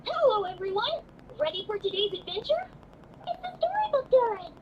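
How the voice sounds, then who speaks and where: A tiny, chirpy childlike game character, extremely high and very animated. The manner is bubbly, playful and teasing. The recording is dull and noisy. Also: female voice, moderate pace. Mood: cheerful. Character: cheerful, chatty small childlike companion. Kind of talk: video game voice acting.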